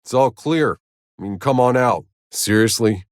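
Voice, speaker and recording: An actor voicing a jaded, sardonic, hardened soldier in a video game, with a rough voice and a wry, terse, world-weary delivery. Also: male voice, medium pitch, a little slow, dry recording, clean studio sound, lightly acted. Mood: tense, raised. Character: jaded, sardonic male soldier, hardened survivor of alien wilds. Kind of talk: video game character voice lines.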